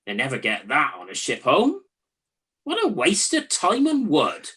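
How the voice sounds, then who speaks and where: Woman doing a chatty bored trojan guard, wry and gullible, slow talker with really swoopy intonation, radio spoof. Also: low voice, huge pitch swings, a bit bright, breathy edge, heavily acted. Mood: amused disbelief. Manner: wry, conversational comic musing. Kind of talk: comic radio play acting.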